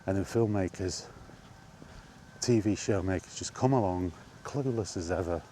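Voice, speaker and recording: A man's voice: sits low, normal speed, warm tone, slight background noise. A British man giving a casual, rambling, blunt and exasperated monologue as a film vlogger.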